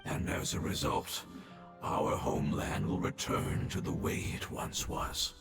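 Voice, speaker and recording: An actor playing a dying ancient male creature who speaks in a hushed, gravelly, solemn way in a game cutscene. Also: natural voice. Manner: solemn, measured farewell. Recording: echoey voice over game music.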